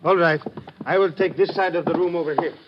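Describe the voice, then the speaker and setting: Actor as a formal police lieutenant, higher voice. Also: male voice, steady tone, highs cut off, background noise, close to an everyday voice. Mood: businesslike. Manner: formal, deliberate, precise phrasing. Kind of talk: 1950s radio drama acting.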